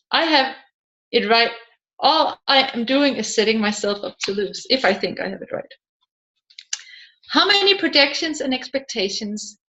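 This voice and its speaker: A woman reading scripted nonfiction narration aloud in a measured way, as an audiobook reader working through questions and answers. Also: fairly high pitch, fairly bright tone, highs cut off.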